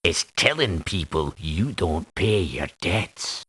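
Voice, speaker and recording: A proud, affronted soldier of fortune in a video game. He speaks formally in a low, forceful voice with lively inflection. His phrases are clipped and stern. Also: slow pace, slightly rough, exaggerated voice. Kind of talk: video game character voice acting.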